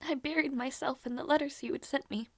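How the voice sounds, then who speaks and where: A woman playing a grieving, devoted young princess in a dramatic audio roleplay, halting and emotional, with a breathy, whispery delivery. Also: high voice, steady tone, a bit bright, no echo. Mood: mournful. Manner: halting, repeats phrases, emotional.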